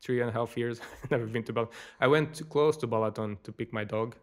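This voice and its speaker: A man's voice: medium pitch, brisk. Male podcast guest, Portuguese accent, middle-range voice, informal and chatty. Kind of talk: casual chat.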